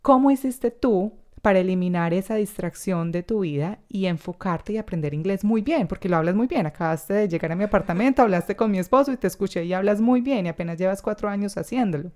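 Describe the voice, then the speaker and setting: A woman's voice: medium pitch, a little fast, hardly any pauses, dark tone. A young adult woman, hosting a casual podcast interview in her apartment, chatty and affirming. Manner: chatty, affirming, full of backchannel. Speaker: podcast host.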